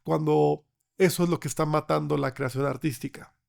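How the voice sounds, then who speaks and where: A slightly hoarse man with a Mexican accent explaining things conversationally as a podcast guest, often ending on tag questions. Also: a little high, steady tone, normal speed, a little mellow. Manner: checks in with frequent tag questions. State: slightly hoarse from getting over a cold. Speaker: podcast guest, film researcher.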